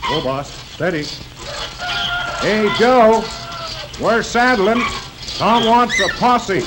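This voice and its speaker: An actor playing a calm, drawling young ranch hand in a 1930s western film. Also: male voice, high-pitched, slow. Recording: very hissy 1930s film soundtrack.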